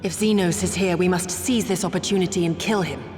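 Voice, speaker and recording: A woman's voice: lowish voice, fairly even intonation, fairly quick, over music, lightly acted. An actress playing a grave, determined shinobi woman in a video game, with solemn, formal, archaic speech. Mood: fierce, resolute. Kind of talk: dramatic video game voice acting.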